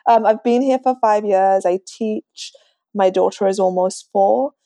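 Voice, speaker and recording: A woman in her twenties talks casually as an interview guest. She has a London accent that has picked up some American colour. Her tone is dark and mellow at a medium pitch, and the recording is very dry. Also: measured pace, no room sound. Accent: London English, slightly Americanised. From London, England. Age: 20s. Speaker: podcast guest.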